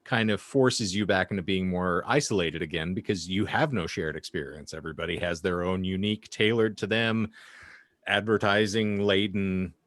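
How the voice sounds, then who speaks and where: A man's voice: low-pitched, moderate pace, a bit bright, no echo, clean studio sound. A man hosting a podcast panel discussion, speaking in an articulate, wordy and wry way. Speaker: podcast host.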